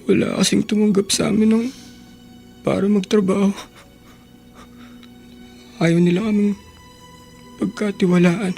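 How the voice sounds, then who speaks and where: An actor playing a destitute, stubborn but remorseful teenage son in a Filipino radio drama, with a gravelly voice and a halting, plaintive delivery. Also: mid-range voice, flat delivery, warm tone. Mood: ashamed. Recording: background music under the voice.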